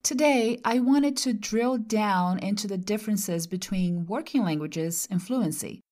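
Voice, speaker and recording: A woman's voice: mid-range voice, measured pace, a little mellow. Reflective Brazilian-born woman host. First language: Portuguese. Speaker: podcast host. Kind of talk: personal monologue.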